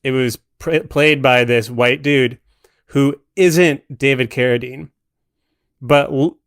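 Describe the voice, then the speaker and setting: A man's voice: mid-range voice, slow pace, a bit bright, clean tone, no room sound, studio quality. A man speaking as a podcast guest in a casual, conversational discussion of film.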